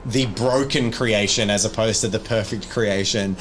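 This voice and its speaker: A man running a tabletop horror game, narrating casually in graphic, halting descriptions and explaining rules. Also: on the low side, steady tone, slight background noise, poor audio. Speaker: game master.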